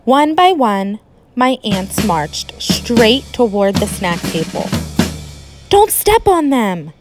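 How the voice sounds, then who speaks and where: A woman teacher reading a children's storybook aloud in an expressive, emphatic way with dramatic pauses. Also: on the high side, music in the background.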